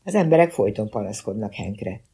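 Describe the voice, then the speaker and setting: A man's voice: fairly low pitch, very animated, warm tone, slightly rough, exaggerated voice. A man voicing a stoic, blunt small-town police chief in an audiobook. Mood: matter-of-fact.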